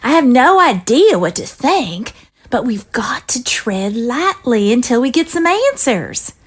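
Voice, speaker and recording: A woman playing an anxious, protective, secretive mother in an audio drama, speaking earnestly and deliberately with emphasis. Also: fairly high pitch, big pitch swings, breathy edge, full character voice.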